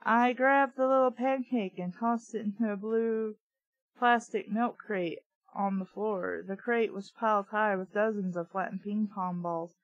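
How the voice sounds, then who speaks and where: A woman's voice: mid-range voice, fairly even intonation, unhurried, no echo, highs cut off. A woman reading a story aloud, breaking in with blunt, sarcastic, casual asides. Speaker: audiobook reader and commentator.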